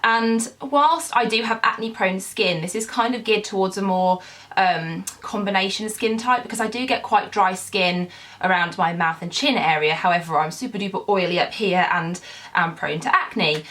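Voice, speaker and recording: A woman's voice: mid-range voice, moderate pace, a bit bright. Congested woman chatting about skincare. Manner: chatty, with frequent ums and kind ofs. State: congested from a cold. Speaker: beauty vlogger. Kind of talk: casual skincare walkthrough.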